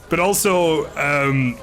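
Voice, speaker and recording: A man's voice: a little high, fairly bright tone. A man doing casual live game commentary, chatting informally with viewers, joking and swearing mildly. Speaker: game streamer.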